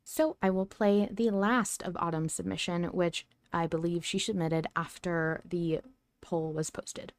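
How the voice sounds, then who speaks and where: A woman's voice: on the low side, fairly even intonation, measured pace, no room sound. A woman hosting a podcast, talking casually in a reflective, candid way. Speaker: podcast host.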